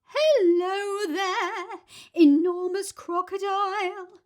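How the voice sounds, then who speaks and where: Storybook bird, high-pitched, singsong, unhurried, polite, sweet and innocent. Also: female voice, darkish tone, heavily acted. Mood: friendly. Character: sweet innocent trusting roly-poly jungle bird.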